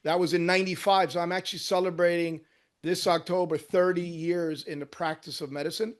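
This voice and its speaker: A man in his sixties, born in Cuba and raised in New Jersey, telling his life story as a guest surgeon in a casual interview, lively and often trailing off into quiet asides. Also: high voice, brisk. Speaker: guest, orthopedic hand surgeon.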